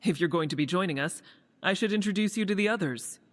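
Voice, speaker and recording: An actress playing a composed, authoritative resistance leader in a video game, speaking in a measured, formal way. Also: female voice, fairly low pitch, natural voice. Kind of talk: video game quest dialogue. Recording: faint background music.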